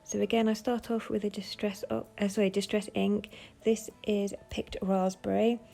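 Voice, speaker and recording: A woman with a cold, sounding bunged up, calmly explaining each step of her craft project in a casual tutorial voice-over. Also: mid-range voice, moderate pace, creaky. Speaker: papercraft designer.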